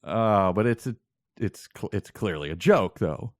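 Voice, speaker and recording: A man's voice: on the low side, a little slow, no room sound, clean studio sound. A man co-hosting a podcast, chatting and joking casually about a book. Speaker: podcast co-host.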